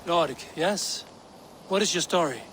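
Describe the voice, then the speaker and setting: An actor playing a stern Viking warrior who questions others in a measured, direct way, in a video game. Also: male voice, fairly high pitch, background noise, natural voice. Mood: questioning. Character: stern, probing Viking warrior acting as interrogator. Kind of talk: video game dramatic acting.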